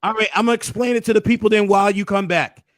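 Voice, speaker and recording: A hoarse man hosting a casual recap, hyping things up with preacher-like flourishes. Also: high-pitched, steady tone, very fast, no room sound, studio quality. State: hoarse, losing his voice. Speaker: host.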